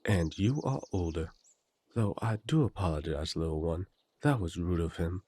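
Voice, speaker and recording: A man playing a courteous, protective eldest werewolf brother in an audio roleplay, gently authoritative and polite. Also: deep voice, normal speed, breathy edge. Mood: gentle, reassuring. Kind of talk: roleplay audio drama acting.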